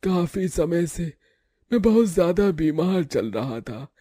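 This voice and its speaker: Dying older village man, played by a man, very slow, laboured, mellow voice, cartoon drama. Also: a little high, sing-song, no echo, studio quality, exaggerated voice. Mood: weak, sorrowful. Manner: halting, laboured.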